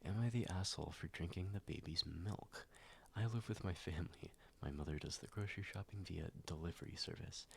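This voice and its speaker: A young man with an extremely deep, gravelly voice murmurs in a soft-spoken ASMR style, slightly nasal and stuffed up from a cold. He hums and ums through his words and often drops into a whisper, with a faint hiss underneath. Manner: soft, slow, many ums and likes, drifting into whispers. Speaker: ASMR YouTuber.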